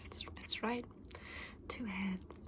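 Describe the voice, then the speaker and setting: A woman's voice: medium pitch, steady tone, slow, lightly acted. Woman playing a whispering, scholarly dragon egg seller. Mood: soft, fascinated. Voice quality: breathy, mostly whispered. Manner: hesitant, explanatory, earnest. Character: gentle, scholarly woman selling dragon eggs. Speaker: ASMR roleplay performer. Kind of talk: soft-spoken, whispered ASMR fantasy roleplay.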